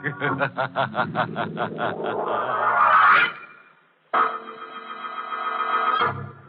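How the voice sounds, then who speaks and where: An actor playing a macabre, mock-genteel horror host who savors grisly puns on a 1940s radio show. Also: male voice, medium pitch, level tone, extremely slow. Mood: gleeful, macabre. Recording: muffled 1940s radio recording, organ music.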